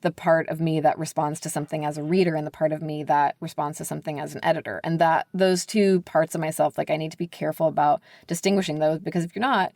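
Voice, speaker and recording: A woman's voice: low voice, steady tone, quick pace, hardly any pauses, a little mellow, dry recording, clean studio sound. An American woman speaking candidly and emphatically as an editor being interviewed on a podcast, peppering her talk with "like". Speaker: book editor, podcast guest.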